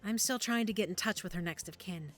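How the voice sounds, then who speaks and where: A woman's voice: medium pitch, brisk, fairly bright tone, close to an everyday voice. A woman acting in an audio drama as a cautious, helpful medical examiner, with a conversational, slightly hesitant manner. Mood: careful, sober. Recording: music under the dialogue.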